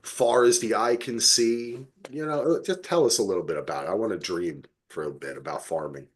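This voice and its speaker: A man's voice: fairly low pitch, a little fast, breathy edge. A man hosting a podcast, chatting casually and with enthusiasm. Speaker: podcast host. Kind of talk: casual chat.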